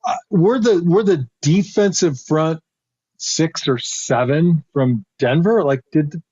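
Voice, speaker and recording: A man's voice: slow pace, breathy edge, no room sound. A middle-aged man, a former football lineman, co-hosting a casual podcast and telling a rambling story, often laughing. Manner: rambling storyteller, laughs often, jumps pitch when excited. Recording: slightly dull lo-fi sound.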